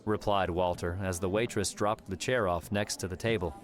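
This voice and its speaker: A man's voice: low voice, steady tone, normal speed. A man reading scripted story narration as an audiobook narrator. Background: music.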